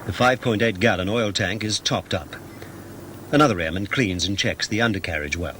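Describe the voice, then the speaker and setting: Low-voiced male documentary narrator. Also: moderate pace, fairly bright tone, a little hiss or hum. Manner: formal, precise, explanatory. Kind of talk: scripted narration, measured and factual.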